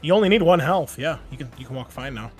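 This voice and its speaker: A man's voice: on the high side, expressive, fast talker. Guy game mastering a tabletop rpg, explains rules and sets scenes, music playing underneath. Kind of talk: running a tabletop roleplaying game, improvised narration and rules talk.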